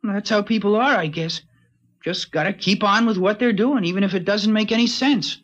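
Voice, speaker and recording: A musing teenage boy in an old radio play, his voice notably high. He speaks in an easygoing way, and the broadcast sound is muffled and dull. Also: normal speed, a bit bright. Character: thoughtful teenage boy, the friend Howie. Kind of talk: 1950s radio drama acting.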